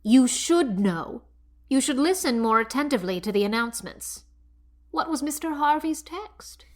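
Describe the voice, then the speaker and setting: A woman playing a bossy, stern older village churchwoman in an audiobook story, brisk and interrogating. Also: high-pitched, lively intonation. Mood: stern questioning. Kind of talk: audiobook dramatization of a classic novel.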